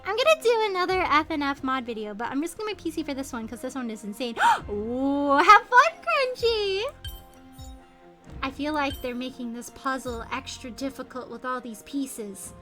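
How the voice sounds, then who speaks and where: A woman livestreaming a video game in casual chat, expressive and exclamatory as she thinks aloud. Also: sits high, normal speed, over music. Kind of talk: casual gaming stream chat.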